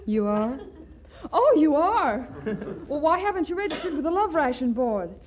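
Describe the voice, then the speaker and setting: A woman's voice: high voice, very animated, normal speed, lo-fi sound. An actress playing an eager, pushy young college girl in a 1940s radio comedy. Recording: hissy 1940s radio broadcast.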